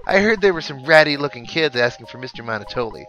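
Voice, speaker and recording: A man voicing an obnoxious, snobby rich boy from a video game in a pompous, taunting, drawn-out manner. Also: mid-range voice, expressive, pushed and bright, muffled recording, faint music behind. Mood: smug, taunting. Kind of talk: video game character read-aloud.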